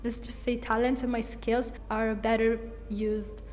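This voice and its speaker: A woman's voice: on the high side, level tone, unhurried, darkish tone, big-room sound. A young woman from Italy with an Italian accent, chatting candidly in a Q&A vlog about her design business, speaking English as a second language. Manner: candid, chatty, with frequent "like" fillers. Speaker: brand designer and content creator. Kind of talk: casual Q&A vlog.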